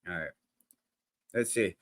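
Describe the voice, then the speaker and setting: A man's voice: low-pitched, slow, dry recording. Slangy rambling man on a dry mic. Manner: slangy, rambling, many restarts and fillers. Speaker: reaction channel host. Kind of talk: casual reaction commentary. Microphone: desk microphone, sometimes peaking.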